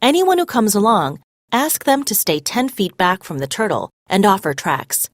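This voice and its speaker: A woman's voice: medium pitch, very animated, normal speed, no room sound, clean studio sound. A woman reading the storybook part of an earnest, determined young girl volunteer in an emphatic way. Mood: businesslike. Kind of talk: read-aloud storybook dialogue.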